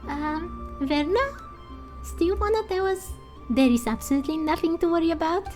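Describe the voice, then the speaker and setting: A cheeky little companion from a fantasy game, voiced by a woman. The voice sits very high, with a mellow tone, and sounds questioning and exasperated, like a worrier who doubts every plan. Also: normal speed, soft background music. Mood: sceptical.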